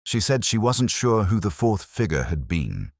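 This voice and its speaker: A man playing a brooding, weary middle-aged police inspector who narrates his case in a husky, measured, solemn, literary way. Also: lowish voice, very animated, a little mellow, dry recording, studio quality. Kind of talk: scripted first-person detective narration.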